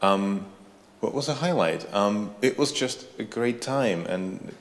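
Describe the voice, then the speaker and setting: A man from the Republic, sharing reflections at a conference with halting, pause-filled speech. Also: lowish voice, lively intonation, slight background noise. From Czech Republic. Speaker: conference attendee. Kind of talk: casual reflection in a group share. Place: conference hall. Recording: reverberant hall.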